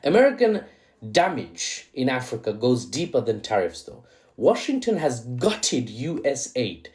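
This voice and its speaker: A man's voice: medium pitch, dark tone. A man with a mixed British accent giving chatty, opinionated political commentary with rhetorical asides and slang. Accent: British, with a mixed Indian-tinged lilt. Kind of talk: casual commentary video.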